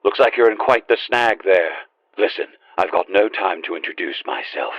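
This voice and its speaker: An actor playing a grave, urgent guide in a video game, husky and heard through a radio filter. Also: male voice, deep voice, moderate pace, no room sound, lo-fi sound. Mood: urgent, stern. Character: grave, urgent male guide helping the player.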